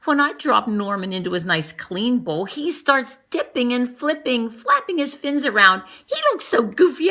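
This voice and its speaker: A woman's voice: fairly high pitch, vocal fry. A woman reading a children's picture book aloud like a teacher, expressively acting out the story and commenting as she goes. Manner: animated, acts out dialogue and comments on the pictures.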